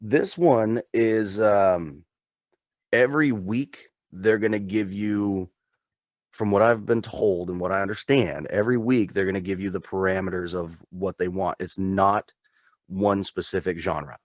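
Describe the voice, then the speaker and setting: Male podcast co-host, very low speaking voice, relaxed chatter, dry close mic. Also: measured pace, dry recording. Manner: laid-back, chatty, says dude often. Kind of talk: casual chat.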